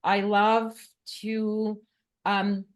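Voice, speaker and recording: A woman from Bulgaria answering interview questions as a scientist, talking in a lively way with frequent "um" fillers. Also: mid-range voice, extremely slow. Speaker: guest scientist.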